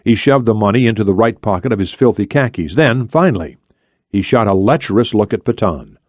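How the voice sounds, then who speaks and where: Low-voiced male thriller narrator. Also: expressive, brisk, a little mellow, no echo, clean studio sound. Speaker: audiobook narrator.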